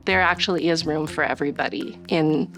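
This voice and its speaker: A middle-aged woman from the US Northwest, a city development director, explaining her work in a calm, measured way in a documentary interview. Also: deep voice, faint music behind. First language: Chinese.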